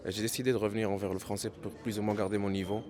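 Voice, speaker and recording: A man's voice: fairly low pitch, little pitch movement, racing, crowd noise. A young man student answering hesitantly, searching for words.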